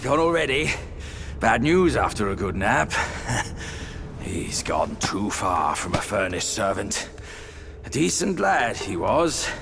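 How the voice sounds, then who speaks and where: A boisterous male pig demon in a game cutscene. His gravelly, lively voice lilts up and down as he mocks his foes. He drawls his lines and laughs often. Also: lowish voice, takes it slowly, noisy background, exaggerated voice. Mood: wry. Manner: sly, teasing, laughs often. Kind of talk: video game cutscene acting.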